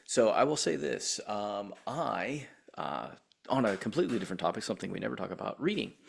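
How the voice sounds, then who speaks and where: A man's voice: lowish voice, sing-song, a little fast, creaky, a little hiss or hum. A man in his forties co-hosting a podcast in casual conversation. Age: 40s. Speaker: podcast co-host. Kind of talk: casual chat.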